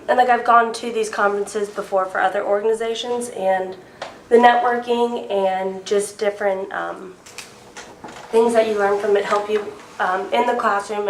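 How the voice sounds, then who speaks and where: Raspy young woman with a cold. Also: mid-range voice, a little hiss or hum. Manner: chatty, many ums and likes. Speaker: student club representative. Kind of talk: informal pitch to a student meeting. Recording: echoey room, voice from far off.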